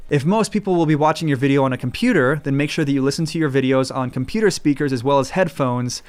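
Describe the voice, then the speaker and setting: A man, host of a filmmaking channel, reading a scripted tutorial in a steady, instructive way. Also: mid-range voice. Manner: clear, steady, instructive delivery. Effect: EQ-filtered demo voice: muffled, phone-like, thin.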